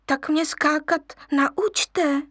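A woman's voice: high-pitched, fairly even intonation, a bit bright, no room sound, studio quality, pitched above the performer's own voice. A woman voicing a small boy lion cub in a storybook, eager and pleading like a child. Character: little male lion cub, eager future king.

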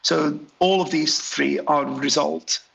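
Guy talking english, gravelly, lots of ums and false starts. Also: fairly high pitch, steady tone, unhurried, a bit bright, rough recording. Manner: hesitant, many fillers and restarts. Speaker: graphic designer, interview guest. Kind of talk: relaxed interview answers.

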